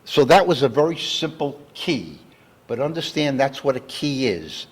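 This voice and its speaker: A man with a husky, slightly rough voice gives an explanatory lecture. He speaks at a middle pitch, laying out definitions deliberately and one step at a time, like an engineer teaching a general audience. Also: very animated. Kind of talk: educational public lecture, explanatory. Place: talk given live to an audience.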